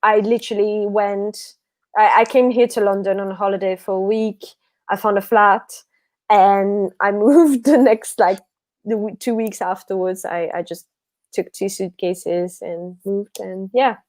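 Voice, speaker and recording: A woman's voice: mid-range voice, steady tone, a little slow, a little mellow. Tired Belgian-born woman, rambling chat. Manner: rambling, self-correcting, laughs often. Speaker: guest author. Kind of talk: casual livestream chat.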